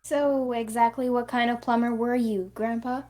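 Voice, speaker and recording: A woman's voice: on the high side, fairly even intonation, moderate pace, mellow, close to an everyday voice. A woman voicing a snarky young girl in a cartoon, with a sarcastic delivery. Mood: curious.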